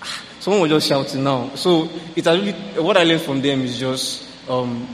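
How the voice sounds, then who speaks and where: A man's voice: mid-range voice, slight background noise. Young man talking casually in an echoey hall. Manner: relaxed, halting, uses fillers. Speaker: panel participant. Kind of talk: casual sharing. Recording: echoey hall with faint ambience.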